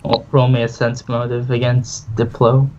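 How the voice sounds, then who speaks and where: A man's voice: mid-range voice, monotone, moderate pace, darkish tone. A man casually narrating a tabletop game as game master. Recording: muffled, noisy home recording.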